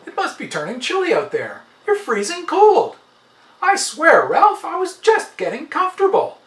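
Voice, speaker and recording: A man's voice: very high voice, wildly swooping pitch, moderate pace, a bit bright, pitched above the performer's own voice, heavily acted. A man voicing an affectionate, chatty aging wife who chides and grumbles mildly in a read-aloud story. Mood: mildly grumbling. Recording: roomy, slightly distant, faint noise.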